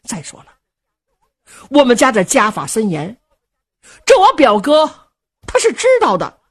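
A woman's voice: on the high side, wildly swooping pitch, measured pace, husky, voice pushed up, exaggerated voice. A woman voicing a young male noble who pleads earnestly and protests when pushed, in traditional storytelling. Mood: torn, pleading.

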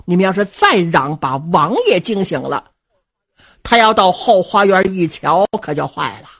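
Brief: sly loyal steward, hushed and cautious, from a woman storyteller. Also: lowish voice, animated, normal speed, breathy edge, full character voice. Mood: wary, hushed. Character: loyal male palace steward, wary and sly. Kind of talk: traditional storytelling.

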